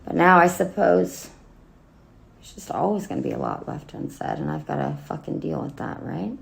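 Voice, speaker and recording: A woman acting a wounded, bitter grown daughter in a dramatic monologue, speaking haltingly and emotionally with a gravelly voice. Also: low voice, huge pitch swings, heavily acted, poor audio. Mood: wounded, resentful. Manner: halting, emotional, with wry laughs and swearing. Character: bitter, wounded grown daughter addressing her dead mother. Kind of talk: dramatic monologue cold read.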